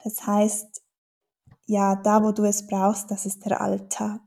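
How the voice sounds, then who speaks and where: A woman's voice: mid-range voice, monotone, slow, vocal fry, mellow. A woman from German-speaking Switzerland with a Swiss accent, a dialect teacher answering interview questions thoughtfully, with many fillers and restarts.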